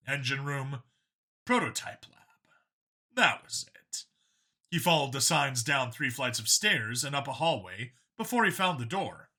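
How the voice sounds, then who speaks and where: A man's voice: medium pitch, pushed and bright. A man reading scripted fiction as an audiobook narrator.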